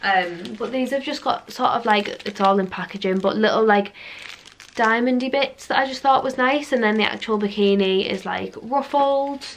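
A woman's voice: a little high, moderate pace, slight background noise. A young woman in her twenties, chatting casually in a clothing haul vlog, rambling with lots of fillers. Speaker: vlogger. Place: living room, seated on sofa.

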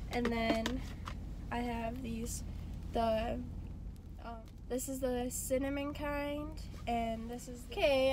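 A young woman vlogging from inside a parked car, sick and losing her voice, rambling casually with many fillers into a phone camera. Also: a little high. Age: young adult. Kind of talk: casual vlog chat. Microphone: phone camera on the dashboard. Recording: phone in a car, clear background noise.

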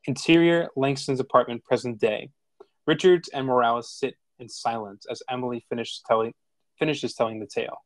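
A man narrating stage directions from a script in a measured, plain way. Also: medium pitch, steady tone, no echo.

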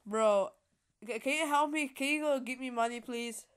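Casual gamer girl, highish voice, jokey, stumbles over words, excitable. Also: moderate pace, clear, resonant tone. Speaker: gamer streaming with a friend. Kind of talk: casual gaming chat.

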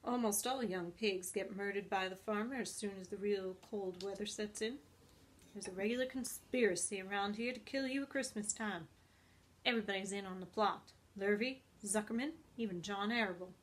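A woman's voice: medium pitch, normal speed, close to an everyday voice. A woman voicing a gloomy, world-weary old female sheep in a storybook, matter-of-fact and snappish. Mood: grim, matter-of-fact.

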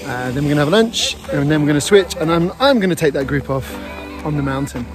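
A man's voice: a little high, animated, fast. A man talking casually to camera as a ski camp leader, explaining things in an informal, upbeat way. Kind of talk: casual vlog talk to camera. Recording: music behind the voice. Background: music.

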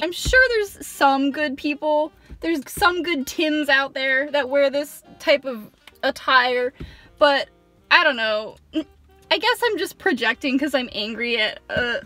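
A woman's voice: sits high, sharp tone. A young woman telling a self-mocking, sarcastic story in a parked car, rambling with lots of ums, recorded by a camera on the dashboard. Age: young adult. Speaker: vlogger. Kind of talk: casual storytime monologue.

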